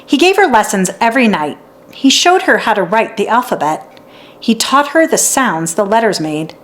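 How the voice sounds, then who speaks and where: A woman narrating a children's story in an expressive read-aloud style for young listeners. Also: a little high, moderate pace. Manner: acts out dialogue and sounds out letters.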